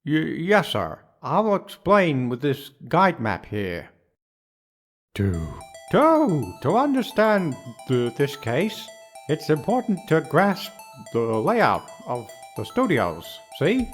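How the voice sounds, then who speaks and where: A man voicing a bumbling, nervous police detective who stutters haltingly, in a video game fan dub. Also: on the high side, wildly swooping pitch, faint music behind, full character voice. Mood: nervous, flustered.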